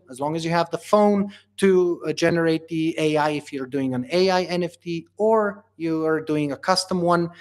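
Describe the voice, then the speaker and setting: Man answering viewer questions live. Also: fairly high pitch. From Bulgaria. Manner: casual, reads questions aloud then answers with many fillers. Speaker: company founder hosting a livestream Q&A.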